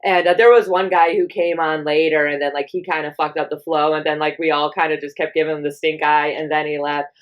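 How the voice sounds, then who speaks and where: A young woman from Wisconsin hosting a podcast, telling stories in a relaxed way and laughing often. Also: sits low, fairly quick, few pauses, muffled recording. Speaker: podcast host. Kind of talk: casual podcast chat.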